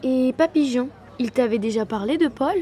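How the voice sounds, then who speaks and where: A woman acts as a relative recounting wartime family history in an audio drama. She is sober and natural. Her voice is slightly high and mellow. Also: moderate pace, slight background noise, natural voice. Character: female family member soberly recounting grandfather's wartime notebook. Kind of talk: French audio drama dialogue.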